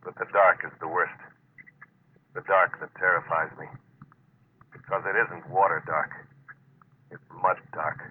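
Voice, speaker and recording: An actor playing a stubborn, grimly determined deep-sea diver in an old-time radio suspense drama, speaking and narrating in a terse, intense, hard-boiled way. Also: male voice, flat delivery, unhurried, long pauses, breathy edge. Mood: grim dread. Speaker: lead actor and first-person narrator. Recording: noisy, tinny old radio recording.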